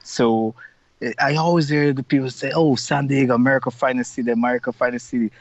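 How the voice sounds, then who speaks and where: Guest: upbeat middle-aged Guyanese man, rambling and chatty, mid-pitched, dull lo-fi call audio. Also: fairly even intonation, fast talker, no room sound. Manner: upbeat, rambling, many "you know" fillers. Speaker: carnival organizer, interview guest. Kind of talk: casual interview over video call.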